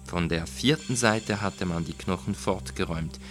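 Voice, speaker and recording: Male audiobook narrator: low voice, measured and deliberate literary storytelling, music underneath. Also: fairly bright tone.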